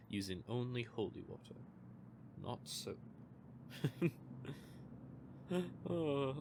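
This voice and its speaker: A man's voice: medium pitch, creaky, noisy background. A man playing a smug, teasing male vampire who is playfully menacing, in an audio roleplay.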